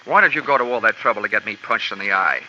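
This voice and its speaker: An actor playing a wisecracking, tough insurance investigator who also narrates, in a vintage radio drama on a dull old recording. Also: male voice, fairly low pitch, lively intonation, fast, bright, forceful tone, a little hiss or hum. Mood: wry. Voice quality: dry, sardonic wisecracks. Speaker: narrator and lead. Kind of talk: 1950s radio drama acting.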